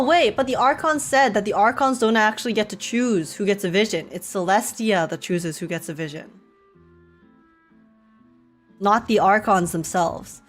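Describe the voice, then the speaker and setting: A young woman chatting casually as she livestreams a game, with a giggly, slangy manner. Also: mid-range voice. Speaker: live streamer.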